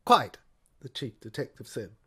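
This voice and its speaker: Female narrator, lowish pitch, deliberate and theatrical, for scripted audio drama. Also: expressive, a little mellow.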